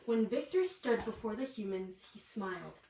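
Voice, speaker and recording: A woman reading a children's bedtime story aloud in an animated way, with asides to the young listeners. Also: mid-range voice, moderate pace, crowd noise. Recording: echoey room, distant mic, faint noise.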